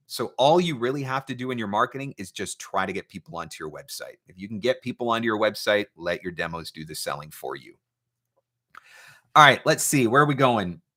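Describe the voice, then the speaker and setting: A man hosting a live question-and-answer advice session, speaking as a coach in an emphatic, deliberate way and repeating his key points. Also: mid-range voice, sharp tone. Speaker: host, voice-over marketing coach. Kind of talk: live Q&A advice talk, reading out viewer questions.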